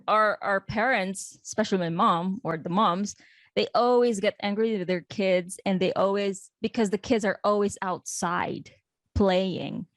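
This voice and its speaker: A woman's voice: mid-range voice, no room sound. A woman from the Philippines, co-hosting a podcast in a chatty, easygoing way with many fillers. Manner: chatty and reminiscing, with frequent fillers and light laughs. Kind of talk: casual conversational interview.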